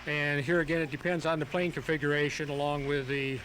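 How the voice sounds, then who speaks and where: A man being interviewed on radio as a hobbyist, explaining things step by step in a halting, methodical way. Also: fairly high pitch, flat delivery. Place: outdoors at a flying field. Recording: noisy home tape of outdoor radio broadcast.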